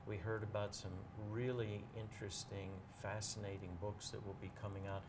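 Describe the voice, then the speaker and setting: Low-pitched man explaining things, noisy background. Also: little pitch movement, normal speed. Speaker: bookseller, regular guest recommending books. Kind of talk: casual interview conversation.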